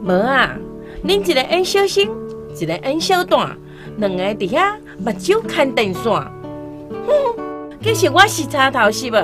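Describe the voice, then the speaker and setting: A woman with a Taiwanese accent playing a jealous, sharp-tongued foster mother scolding in a radio drama. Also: high-pitched, sing-song, a little slow, over music.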